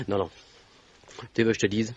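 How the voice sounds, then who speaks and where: An actor playing a flippant, suspicious young student in a 1950s French radio mystery, casual, hesitant and teasing. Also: male voice, on the low side, level tone, brisk, darkish tone, breathy edge, dry recording. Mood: mocking, suspicious. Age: young adult. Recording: dull vintage radio recording.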